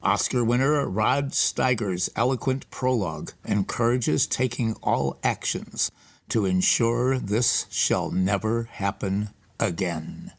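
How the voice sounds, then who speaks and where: Gravelly-voiced man introducing a film. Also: lowish voice, takes it slowly. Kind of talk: scripted voice-over introduction.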